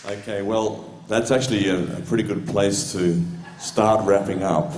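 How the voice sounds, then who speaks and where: A man's voice: lowish voice, fairly even intonation, measured pace, a crowd in the background, background noise. A man moderating a panel, wrapping up the session in a loose, hesitant way. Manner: hesitant, lots of fillers and restarts. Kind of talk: loose, rambling event hosting. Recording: echoey room with faint ambience.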